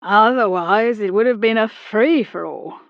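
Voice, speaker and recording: A woman voicing an old British army captain, brusque, with a clipped, slow and emphatic manner. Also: medium pitch, dry recording, muffled recording, natural voice. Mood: blustering.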